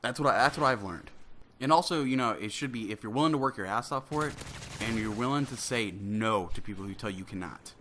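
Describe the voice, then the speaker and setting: A man's voice: on the low side, animated, a little fast, fairly bright tone, slight background noise. A man in his twenties with a cold and a nasal, stuffy voice, rambling through a solo commentary and often breaking off mid-sentence to restart. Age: 20s. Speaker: gaming commentator.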